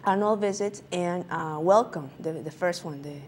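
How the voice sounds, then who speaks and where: A woman from Peru, answering interview questions as a guest physician in a halting way with many filler sounds. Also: lowish voice, slight background noise.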